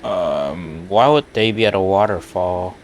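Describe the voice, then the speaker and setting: A man's voice: sits low, measured pace, slight background noise. A man giving casual live commentary as a game streamer, with laid-back, murmured reactions.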